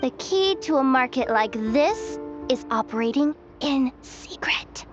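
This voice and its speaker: High-voiced streetwise young informant. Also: female voice, animated, a little slow, lo-fi sound. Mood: sly, confident. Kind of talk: video game voice acting. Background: game music.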